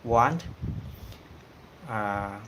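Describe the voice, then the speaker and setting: A man from Cambodia with a Cambodian accent, whose first language is Khmer, talking casually on a livestream and pausing often with fillers. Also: on the low side, sharp tone, slight background noise, low-quality recording. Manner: hesitant, many pauses and fillers. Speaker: singing channel host.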